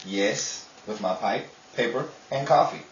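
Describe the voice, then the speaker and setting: A man's voice: on the low side, a little slow, close to an everyday voice. A man playing a grumpy, sarcastic, unromantic homebody husband in a 1930s-style radio drama, with dry exasperation. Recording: dull, roomy, distant, with faint noise.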